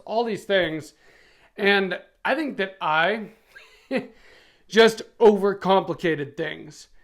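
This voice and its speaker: A man's voice: high-pitched, fairly bright tone. A middle-aged American man hosting a video, giving a casual, conversational product review in plain-spoken language.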